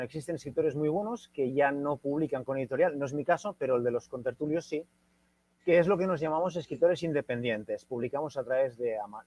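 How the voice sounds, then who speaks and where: An older man from Catalonia, speaking as a guest writer in a casual panel talk, pausing often with fillers and restarts. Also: a little high. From Catalonia, Spain. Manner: hesitant, many fillers and restarts. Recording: muffled lo-fi video call.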